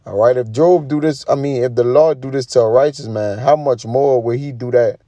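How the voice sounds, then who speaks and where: A man's voice: medium pitch, moderate pace, mellow, no echo. A man giving an informal sermon, reading scripture and commenting in an emphatic, colloquial way with frequent tag questions. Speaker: preacher.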